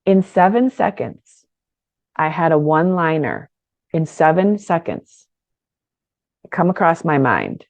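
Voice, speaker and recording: Low-voiced woman coaching with energy. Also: slow pace, pauses often, no echo. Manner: conversational, energetic, with frequent laughs and restarts. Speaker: business coach. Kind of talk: online course teaching.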